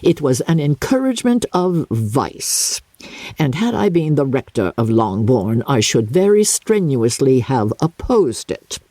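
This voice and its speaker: A woman doing a pompous, husky, sanctimonious clergyman. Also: very deep voice, big pitch swings, a little slow, dark tone, no room sound, exaggerated voice. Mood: pompous, disapproving. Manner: pompous, formal, preachy. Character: pompous, sanctimonious clergyman reading out his moralising letter.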